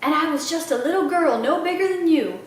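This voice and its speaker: A woman's voice: high-pitched, lively intonation, fairly quick. A woman voicing a proud old small-town Southern lady librarian who tells tales with dramatic, repeated emphasis for a children's storybook. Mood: proud, reminiscing. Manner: emphatic, repeats phrases for effect. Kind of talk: children's audiobook character voice. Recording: very echoey room, slightly distant mic.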